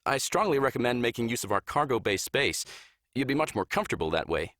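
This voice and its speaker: A man's voice: medium pitch, very animated, fast talker, fairly bright tone, no echo, studio quality. A man playing a friendly, polite spaceship pilot in a visual novel game. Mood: cheerful, courteous.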